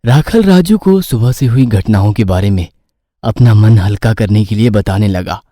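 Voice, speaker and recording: Very mellow, animated male storyteller. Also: lowish voice, normal speed, no room sound, studio quality. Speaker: narrator. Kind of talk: scripted storytelling narration.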